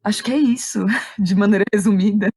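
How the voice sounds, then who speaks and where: A woman's voice: medium pitch, brisk, a little mellow, husky, dry recording, studio quality. A woman with a São Paulo Brazilian accent chatting informally as an interview guest, often checking in with little tag questions. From Santo André, São Paulo, Brazil. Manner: informal, conversational, uses frequent tag questions. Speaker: guest, theatre director and playwright. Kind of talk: casual interview chat.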